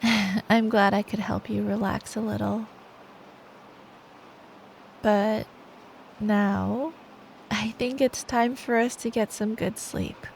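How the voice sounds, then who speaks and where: A woman's voice: medium pitch, fairly even intonation, slow pace, creaky, long pauses, slight background noise. A woman doing ASMR bedtime storytelling as a girlfriend, affectionate, soothing and playful. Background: rain ambience.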